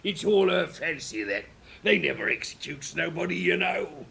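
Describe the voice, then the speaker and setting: A man voicing a lazy, uneducated griffin from a storybook, with a gravelly voice and folksy, dismissive manner. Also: fairly high pitch, animated, moderate pace, bright, forceful tone, heavily acted. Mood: amused scoffing.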